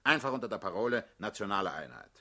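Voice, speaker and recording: A man's voice: fairly low pitch, sing-song, quick pace, sharp tone, exaggerated voice. A male actor playing a hard-headed older revolutionary leader in a radio drama, lecturing and condescending. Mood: calculating, patient. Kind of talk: theatrical radio drama acting.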